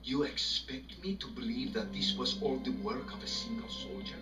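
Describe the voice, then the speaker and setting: An actor playing a weary, stern Soviet leader in a video game cutscene, formal and deliberate, coldly pressing his points. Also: mid-range voice, very animated, natural voice. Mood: angry. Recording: echoey, distant game audio under music.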